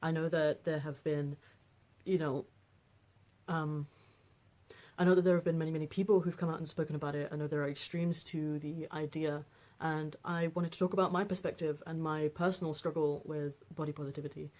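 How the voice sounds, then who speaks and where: A young woman in her twenties with a notably low, deep voice. She talks to camera in a loose, rambling way, full of ums, restarts and asides, as if chatting at home. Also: fairly even intonation, fairly quick, a little mellow. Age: 20s. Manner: rambling, frequent fillers and restarts. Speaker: vlogger. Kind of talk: casual personal vlog.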